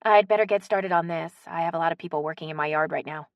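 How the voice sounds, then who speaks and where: A woman's voice: low voice, fast, dry recording, highs cut off. A woman narrator voicing a polite, good-natured woman in an audiobook story. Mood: friendly.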